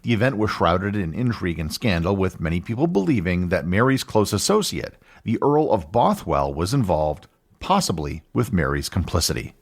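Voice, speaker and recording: A man hosting a history podcast, reading a scripted narration in a measured storytelling manner. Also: big pitch swings. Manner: measured storytelling with wide pitch swings. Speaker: podcast host.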